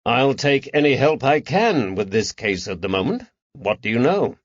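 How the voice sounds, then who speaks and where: A man playing a patient, careful detective in an audiobook mystery, measured and gently firm as he questions. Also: mid-range voice, sing-song, no echo, dull audio. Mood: stern, amused.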